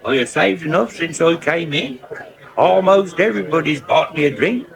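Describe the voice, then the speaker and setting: An actor playing a cheery, bumbling farm labourer, rustic and good-natured, in a naturalistic radio drama. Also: male voice, a little high, lively intonation, normal speed, creaky. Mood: apologetic, genial.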